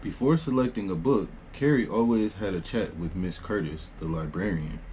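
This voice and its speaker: Male storyteller, low relaxed voice, informal unpolished read-aloud of kids' books, some background noise. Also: moderate pace, poor audio. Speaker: storyteller reading children's picture books. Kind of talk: read-aloud narration with casual asides.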